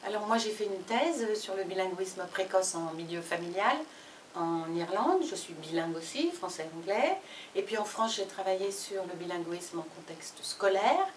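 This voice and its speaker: A woman, a university professor and sociolinguist, presenting a book in a fluent, measured way. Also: mid-range voice, lively intonation, a little hiss or hum. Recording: echoey room from far off.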